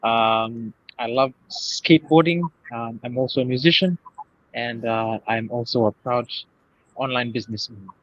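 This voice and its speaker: A young man from Fiji introducing himself casually, in a hesitant, halting way. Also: mid-range voice, steady tone, fairly bright tone. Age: young adult. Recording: dull, noisy lo-fi call audio.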